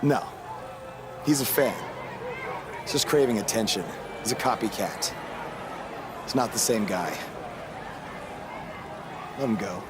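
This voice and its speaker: An actor playing a frustrated, determined pro wrestler with a dry, exasperated manner in a video game cutscene. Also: male voice, on the low side, lively intonation, measured pace, long pauses. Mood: weary. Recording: clear background noise.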